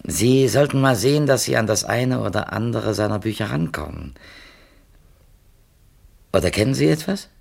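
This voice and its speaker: An actor playing a well-meaning, talkative publisher's editor in a 1970s German radio play, speaking in wordy, tentative phrases. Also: male voice, lowish voice, lively intonation, normal speed, creaky, long pauses, darkish tone, husky, exaggerated voice. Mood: earnest. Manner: wordy, tentative, self-qualifying.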